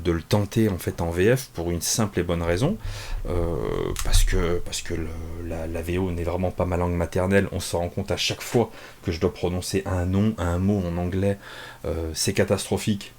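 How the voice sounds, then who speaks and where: Chatty French-speaking man, low voice. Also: fairly even intonation, normal speed, darkish tone, a little hiss or hum. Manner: chatty, self-mocking, many fillers and restarts. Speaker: comics reviewer. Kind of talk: casual unscripted video review. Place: small home office.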